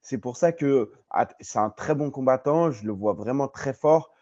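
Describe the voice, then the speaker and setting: French man with a cold, mellow lo-fi voice. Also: medium pitch, normal speed, dry recording. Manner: insistent, argues his point. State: has a cold, coughing. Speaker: podcast co-host. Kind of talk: casual podcast debate. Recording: dull lo-fi sound.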